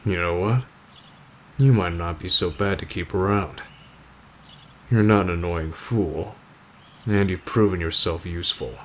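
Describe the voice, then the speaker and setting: A man playing an aloof, stoic teenage delinquent boy, curt and deadpan, in an ASMR roleplay addressed to the listener. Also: deep voice, a little hiss or hum.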